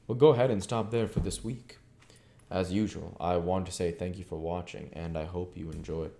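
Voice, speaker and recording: Deep dark-voiced guy narrating an old novel, mellow, measured audiobook reading. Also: steady tone, gravelly. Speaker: audiobook reader, channel host. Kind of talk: scripted narration of a classic novel.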